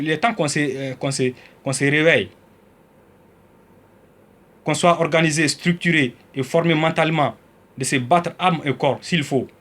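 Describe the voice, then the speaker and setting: A man from Mali giving a political address to his people, with plenty of hesitations, who starts slow and measured and then speaks louder and more heated. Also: medium pitch. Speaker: citizen activist.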